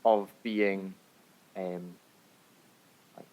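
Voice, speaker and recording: A man's voice: low-pitched, flat delivery, very slow, lo-fi sound. A man co-hosting a podcast, chatting casually with the others. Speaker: podcast co-host. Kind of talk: casual chat.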